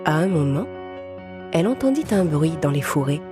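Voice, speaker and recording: A woman tells a children's story in a slightly low voice. She narrates from a script, with music clearly playing behind her. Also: sing-song, normal speed.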